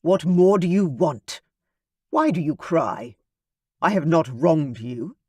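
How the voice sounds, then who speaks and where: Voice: medium pitch, lively intonation, slow, a little mellow, husky, dry recording. Narrator doing a cold, dismissive duke. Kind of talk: audiobook character voice.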